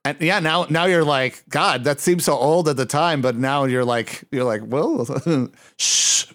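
A man's voice: fairly high pitch, a little slow, fairly bright tone. A man in his fifties hosting a podcast, chatty and full of film trivia. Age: 50s. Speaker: podcast host. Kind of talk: casual podcast chat.

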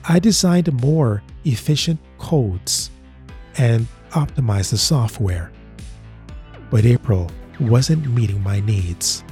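A man narrating a short drama in a slow, measured way, with a husky voice. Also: mid-range voice, expressive, dark tone, faint music behind. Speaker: narrator and lead character.

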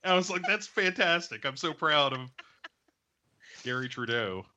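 A man's voice: on the high side, lively intonation, a little slow, sharp tone, lo-fi sound. A man on a podcast, casually chatting in a joking, sarcastic way. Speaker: podcast guest. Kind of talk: casual chat.

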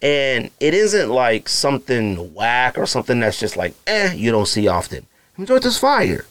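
A man in his thirties with a naturally deep voice, hosting a podcast in loose, slangy casual chat full of fillers and asides to his listeners. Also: wildly swooping pitch, moderate pace, a bit bright, no room sound. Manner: feels hot and uncomfortable while recording. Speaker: podcast host. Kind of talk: casual solo podcast rambling.

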